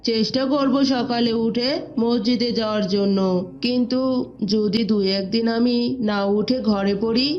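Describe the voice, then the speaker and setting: A woman's voice: fairly high pitch, steady tone, a little slow, a little mellow, natural voice. A woman voicing a reluctant young boy, a questioning little brother in an Islamic moral cartoon. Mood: hesitant. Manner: complaining, questioning. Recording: dull lo-fi audio.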